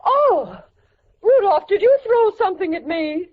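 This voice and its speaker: A woman's voice: very high-pitched, animated, unhurried. A woman playing a fussy, effusive wife in a 1940s radio comedy, exclaiming as she talks. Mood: indignant. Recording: muffled old radio recording.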